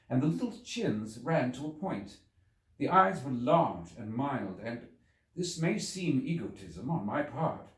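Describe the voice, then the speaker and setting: A man reading a classic novel aloud as scripted literary narration, with deliberate, dramatic phrasing. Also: medium pitch, darkish tone. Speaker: audiobook reader. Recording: roomy, echoing voice recording.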